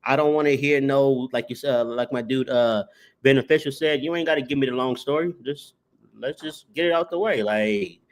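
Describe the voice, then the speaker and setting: A man co-hosting a podcast in casual chat, telling stories with a voice that jumps up on punchlines. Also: normal speed. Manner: animated storyteller, rising pitch on punchlines. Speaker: podcast co-host.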